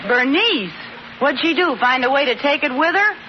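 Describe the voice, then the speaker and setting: An actress playing a playful, scheming, talkative housewife, teasing and wisecracking in 1940s radio comedy style. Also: female voice, high-pitched, expressive, moderate pace. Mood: breezy. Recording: muffled, noisy old radio recording with audience.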